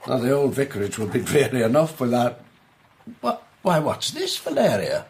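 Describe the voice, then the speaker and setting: A man's voice: medium pitch, huge pitch swings, normal speed, a little mellow, slight background noise. A man playing a kindly, fussy older uncle in a period radio drama, avuncular and exclamatory. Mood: jovial, fussy.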